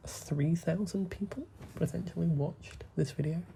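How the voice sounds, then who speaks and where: A young man, talking softly and rambling as he plays a game, full of fillers and asides. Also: a little high, vocal fry, a little hiss or hum. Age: young adult. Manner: soft, deliberately hushed talk with frequent fillers. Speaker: gaming YouTuber. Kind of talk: rambling gameplay commentary.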